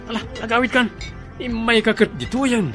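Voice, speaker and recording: A woman's voice: a little high, steady tone, brisk, fairly bright tone, lightly acted. A woman with a Filipino accent playing a bossy, calculating confidant in an Ilocano radio drama. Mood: smug approval. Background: music.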